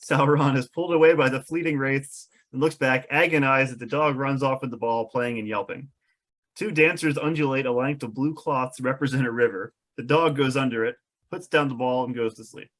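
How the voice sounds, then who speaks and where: A man's voice: medium pitch, steady tone, a little fast, fairly bright tone. Man narrating script directions in a medium voice. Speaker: narrator reading stage directions. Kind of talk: scripted narration at a table read.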